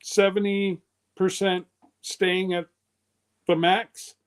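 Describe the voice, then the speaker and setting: A man's voice: sits high, little pitch movement, takes it slowly, clear, resonant tone. A man in his fifties hosting a live stream, chatting casually in a loose, rambling way. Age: 50s.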